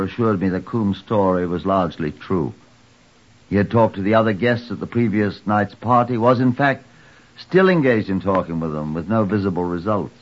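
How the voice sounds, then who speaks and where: An actor playing a dry, reserved police inspector, formal and measured, in an old-time radio drama. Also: male voice, low voice. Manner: dry, terse, formal when narrating. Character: dry, reserved male police inspector narrating his case. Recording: dull, muffled old radio recording.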